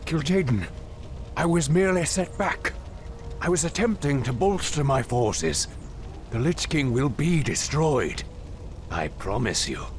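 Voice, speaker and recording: An actor voicing an arrogant, brooding fallen elf warlord in a fantasy game, with a grandiose, commanding, measured delivery. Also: male voice, a little high, animated, slight background noise. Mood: defensive. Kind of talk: dramatic fantasy game acting.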